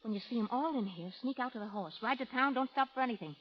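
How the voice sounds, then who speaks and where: Woman playing a brash, high-pitched frontier cowgirl. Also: animated, fast, a little hiss or hum, natural voice. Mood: urgent, hushed. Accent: American. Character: brash, tough-talking, fearless frontier cowgirl. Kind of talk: 1930s radio acting. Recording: muffled old radio recording.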